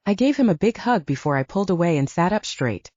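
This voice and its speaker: A woman's voice: fairly low pitch, animated, fast talker, a little mellow, no echo, dull audio. A woman reading scripted first-person audiobook narration.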